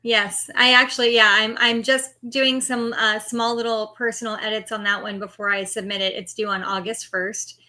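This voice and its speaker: A woman's voice: on the high side, level tone, normal speed, hardly any pauses, sharp tone. A middle-aged woman being interviewed as an author, answering candidly and laughing easily.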